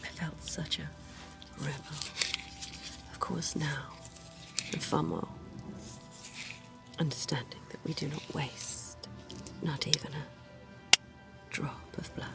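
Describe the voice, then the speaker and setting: A centuries-old vampire dentist, played by a woman, leaning close and teasing in a breathy, gravelly half-whisper. She speaks slowly and sits on the lower side of her range, with music playing softly under her. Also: exaggerated voice. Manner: soft, teasing, intimate whispering. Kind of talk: ASMR roleplay.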